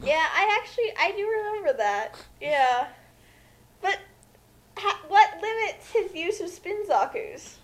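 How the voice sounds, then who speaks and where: A woman's voice: very high voice, sing-song, measured pace. A woman chatting casually as a commentator, with a questioning, incredulous manner. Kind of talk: casual chat.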